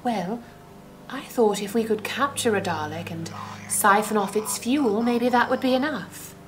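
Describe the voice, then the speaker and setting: An actress playing a level-headed, practical adventurer companion in an audio drama, speaking in a dry, formal, matter-of-fact way. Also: female voice, on the high side, a little slow, slight background noise, close to an everyday voice. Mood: dry, doubtful.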